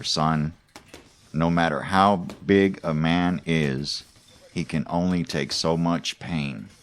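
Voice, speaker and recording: A man playing a stern combat mentor in an audio drama, grave and instructive in manner. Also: sits low. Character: stern male combat mentor teaching about pain.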